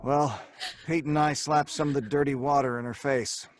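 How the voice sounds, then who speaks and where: A man's voice: mid-range voice, steady tone, moderate pace, close to an everyday voice. An actor playing a brash, mocking crook who narrates his own tale in slangy old-time radio drama style. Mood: tense storytelling. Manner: slangy, hard-boiled tough talk.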